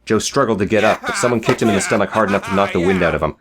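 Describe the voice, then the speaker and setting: A man's voice: fairly low pitch, very fast, crowd noise. A man narrating a scripted, dramatic story as an audiobook reader.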